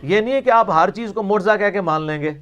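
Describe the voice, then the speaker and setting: A man's voice: high-pitched, a little fast, fairly bright tone. A man delivering an argumentative religious lecture in a mocking, rhetorical way, quoting narrations as he goes. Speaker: religious teacher answering questions.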